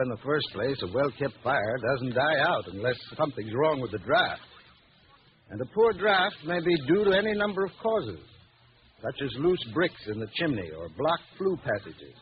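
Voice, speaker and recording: A man giving a scripted 1940s radio advertising talk as a sponsor's expert, in a folksy, conversational manner. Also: fairly high pitch. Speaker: sponsor's home heating expert. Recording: muffled old radio, faint noise.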